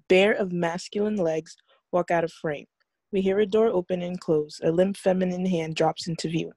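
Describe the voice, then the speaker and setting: Woman reading out a film script, soft mellow tone, dry sound. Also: on the low side, no room sound. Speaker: reader of stage directions. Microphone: video call.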